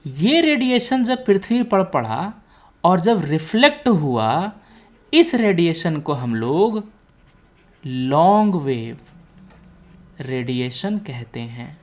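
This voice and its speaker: A woman's voice: low voice, big pitch swings, slow pace, pauses often. A woman with an Indian accent giving an explanatory lecture as a teacher, repeating key points and checking for understanding. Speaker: teacher, exam coaching instructor.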